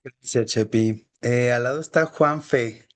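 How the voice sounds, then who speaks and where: A man's voice: medium pitch, slow. A man moderating a workshop on a video call, briefly thanking each participant and calling the next. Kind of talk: moderating introductions.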